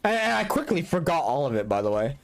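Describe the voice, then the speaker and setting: A man's voice: on the high side, big pitch swings, fast, creaky. A young adult man streaming a game in casual chat, joking about himself and laughing often. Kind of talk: casual live-stream chat while gaming.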